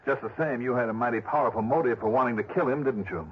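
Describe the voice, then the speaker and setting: A man's voice: medium pitch, quick pace, a little hiss or hum, lightly acted. An actor playing a wry, hard-boiled investigator who narrates his case in a clipped 1960s radio drama style. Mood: probing. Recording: dull old radio recording with music behind.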